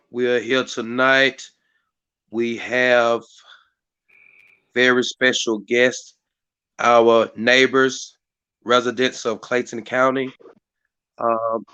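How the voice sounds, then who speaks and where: A hoarse man hosts a community live stream in a rambling way, full of fillers and restarts. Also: mid-range voice, bright, forceful tone, no echo, highs cut off. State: hoarse, voice partly lost. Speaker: community activist hosting a live stream meeting. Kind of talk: casual hosted talk. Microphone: live stream mic.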